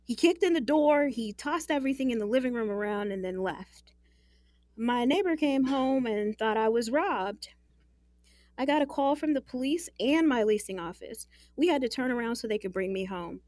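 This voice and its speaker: A woman's voice: a little high, expressive, moderate pace, a little mellow, no echo, lightly acted. An actress playing a guarded, exasperated young working woman in an audio drama, frank and wry.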